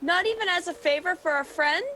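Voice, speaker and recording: A woman's voice: extremely high pitch, a little fast, pushed and bright, a little hiss or hum. A woman playing a sly, sarcastic demon henchman with a mocking, theatrical delivery in a radio play. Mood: sarcastic mockery. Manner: mocking, mock-sweet, theatrical.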